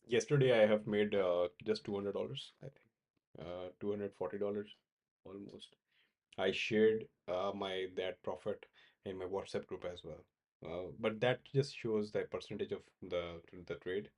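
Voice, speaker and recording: Halting low-voiced man with fillers. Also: steady tone, a little mellow. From Pakistan. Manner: halting, many fillers and repeated words. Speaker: crypto trading livestream host. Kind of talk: casual live-stream chat answering viewers.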